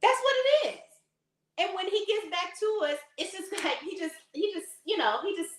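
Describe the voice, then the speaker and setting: A slightly hoarse woman hosting a faith talk, preaching casually to her audience in a sassy, emphatic way. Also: extremely high pitch, expressive, moderate pace, reverberant, rough recording. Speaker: faith talk host and lifestyle consultant.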